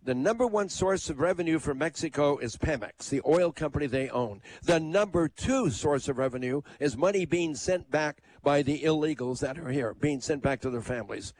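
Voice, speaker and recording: A man's voice: a little high, normal speed, hardly any pauses, a little mellow. A man hosting a call-in radio talk show, speaking in a conversational, emphatic way. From United States.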